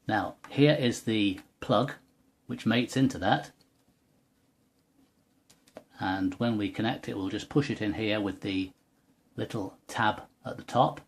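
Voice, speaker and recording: A man with a fairly low, husky voice presents a technical tutorial. He explains things step by step in a precise, methodical way, as if demonstrating a product by hand. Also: slow pace, pauses often.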